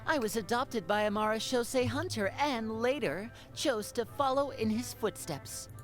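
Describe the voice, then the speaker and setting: An actress playing a sly, self-assured young wandering monster hunter in a video game. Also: female voice, a little high, normal speed, faint music behind, lightly acted. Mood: confident.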